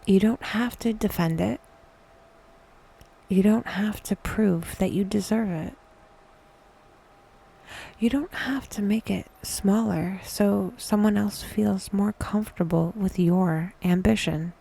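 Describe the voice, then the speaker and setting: Slow, whispery woman guiding meditation. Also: mid-range voice. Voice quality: soft, breathy whisper. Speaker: guided meditation host. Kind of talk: whispered, soothing guided meditation.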